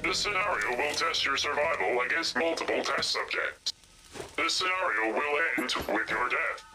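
A man's voice: a little high, animated, background noise, lightly acted. Man acting a clinical, ominously polite facility announcer. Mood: coldly formal. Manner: formal, measured, clinical announcements. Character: cold, detached male test-facility announcer, ominously polite. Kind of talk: scripted game announcer. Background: game music.

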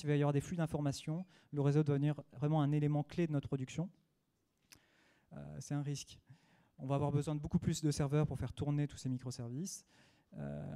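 A man with a French accent speaks at a small meetup, his voice mellow and slightly higher than most men. He talks in a halting way, often pausing on fillers and restarting his words. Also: clear, resonant tone. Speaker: conference speaker. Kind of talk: technical meetup talk. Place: small dim meetup room.

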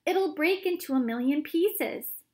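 A woman voicing an excitable elderly grandfather who shouts in shrill alarm and glee. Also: a little slow.

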